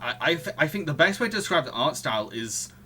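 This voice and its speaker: A man co-hosting a podcast, casually discussing films in a halting, chuckle-prone way. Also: a little high, lively intonation, bright, forceful tone, slight background noise. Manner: chuckles through sentences, halting. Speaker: podcast co-host.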